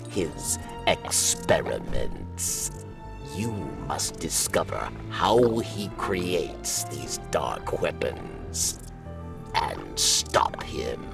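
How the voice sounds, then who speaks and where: An actor playing a solemn, wary alien warrior ally in a video game, with halting, deliberate phrasing and a gravelly voice. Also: male voice, extremely low pitch, very animated, recorded from a distance, over music, exaggerated voice. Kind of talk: video game dialogue acting.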